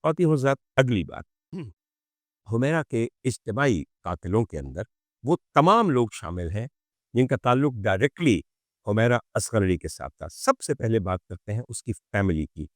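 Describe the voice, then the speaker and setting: Man delivering indignant, animated spoken commentary. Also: fairly low pitch, no room sound, clean studio sound. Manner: rhetorical questions, dramatic pauses and repetition for emphasis; indignant, sarcastic. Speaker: commentary show host. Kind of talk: opinionated monologue commentary addressed to viewers.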